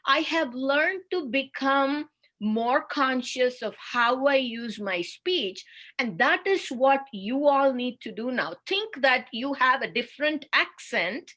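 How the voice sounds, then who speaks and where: Indian lady speech therapist, slow careful webinar explaining, bright highish voice. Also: lively intonation. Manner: slow, deliberate and instructive. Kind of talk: webinar presentation.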